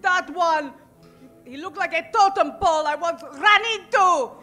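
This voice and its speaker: A woman's voice: very high voice, pushed and bright, faint music behind, full character voice. A woman playing a scheming, haughty Mexican villainess who frightens easily, in a melodramatic comedic old-time radio style. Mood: panicked. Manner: melodramatic, halting delivery.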